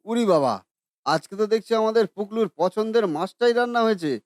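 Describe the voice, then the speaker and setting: A man's voice: high-pitched, lively intonation, brisk, darkish tone, no echo, clean studio sound. A man voicing a mild, placating father in a cartoon with a soothing manner. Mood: easygoing.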